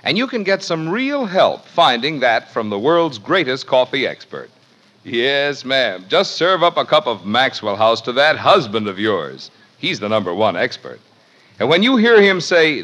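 A man's voice: medium pitch, a bit bright. An American man delivering a 1950s radio commercial as an announcer. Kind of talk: 1950s radio advertising pitch.

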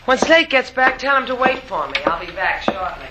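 An actress playing a brash, bossy, wisecracking young woman in an old radio adventure drama, sharp and sardonic. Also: on the high side, animated, fairly quick, very noisy, close to an everyday voice. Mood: wry, determined. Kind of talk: 1940s-50s radio drama acting. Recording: old radio recording with faint music.

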